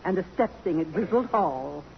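A woman's voice: on the low side, expressive, normal speed, creaky. An actress playing a prim, courteous, fluttery college staff woman in mid-century American radio drama. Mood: polite, chatty. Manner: formal, genteel, fluttery. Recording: dull, noisy old radio broadcast recording.

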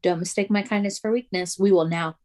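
Chatty, quick-talking woman podcaster. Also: fairly low pitch, fairly even intonation, a little mellow. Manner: fast, chatty, laughs often, lots of "like". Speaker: podcast co-host. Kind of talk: casual chat.

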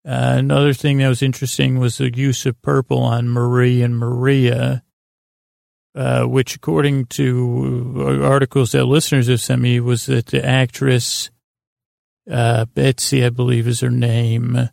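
A man hosting a sleep podcast, rambling in a halting, meandering way with lulling, soothing tones. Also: mid-range voice, little pitch movement, darkish tone, dry recording, studio quality. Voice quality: creaky, gravelly. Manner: meandering, hesitant, halting, trailing off into tangents. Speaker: podcast host.

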